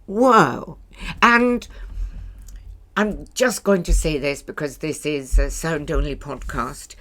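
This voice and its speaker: A woman's voice: deep voice, slow pace, sharp tone. An older woman, hosting a chatty podcast interview in a blunt, wry manner. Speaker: podcast host. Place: small stage in a bar venue.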